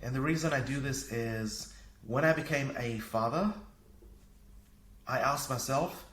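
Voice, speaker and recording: Energetic fiji-born guy on a podcast, sounds kinda echoey, mid pitch. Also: measured pace, a little hiss or hum. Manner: energetic, upbeat, laughs easily. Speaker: podcast guest, parenting coach. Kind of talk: casual conversation. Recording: echoey room.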